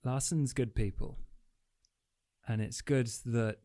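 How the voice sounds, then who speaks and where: A man's voice: medium pitch, steady tone, slow pace, warm tone, no echo, clean studio sound. Sarcastic man role-playing a character. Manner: flippant, sarcastic. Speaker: player. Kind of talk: in-character roleplay.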